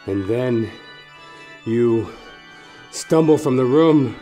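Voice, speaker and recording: A man narrating a horror audio play dramatically, intense and theatrical, pausing between short phrases. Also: mid-range voice, expressive, dark tone, faint music behind.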